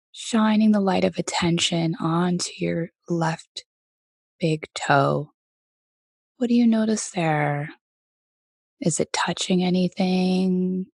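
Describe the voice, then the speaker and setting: Woman, meditation teacher, slightly low pitch, soft and gentle, frequent pauses, dry sound. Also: vocal fry, dry recording. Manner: gentle, unhurried, pausing often between phrases. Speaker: meditation teacher leading a body scan. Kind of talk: guided meditation.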